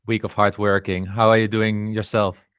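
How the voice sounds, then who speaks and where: Low-voiced man hosting a podcast. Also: little pitch movement, moderate pace, fairly bright tone, clean tone, no room sound, studio quality. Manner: restarts words, stumbles. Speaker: podcast host. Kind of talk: casual chat.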